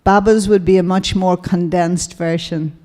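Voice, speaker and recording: A woman moderating a spiritual panel in a meditation hall, speaking in a slow, measured and reflective way with light laughs. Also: on the low side, fairly even intonation, mellow.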